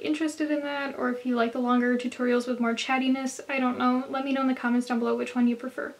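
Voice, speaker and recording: A woman with a hoarse, slightly nasal voice, chatting through a makeup tutorial for her viewers. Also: high-pitched, poor audio. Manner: chatty, talks straight to viewers. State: hoarse from a cold. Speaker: beauty vlogger.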